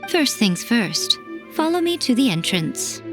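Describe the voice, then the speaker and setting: A woman voicing a sly, aloof female fox familiar in a video game, teasing and knowing in an unhurried way. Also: a little high, sing-song, loud music behind the voice. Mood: musing.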